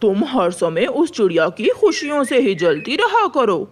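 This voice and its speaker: A woman's voice: on the high side, sing-song, measured pace, slight background noise. A woman voicing a lazy, sarcastic male crow husband in a cartoon, with a dismissive manner. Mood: sarcastic, irritated.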